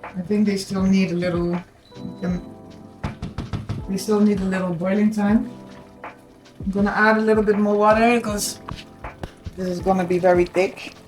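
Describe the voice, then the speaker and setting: A woman's voice: mid-range voice, steady tone, moderate pace, long pauses. A Dutch woman with a Dutch accent, whose first language is Dutch, chatting casually to her viewers while cooking in her home kitchen. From Netherlands. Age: adult with children. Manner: chatty, addresses viewers directly, mixes in Dutch words. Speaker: cooking vlogger. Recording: echoey kitchen with background music.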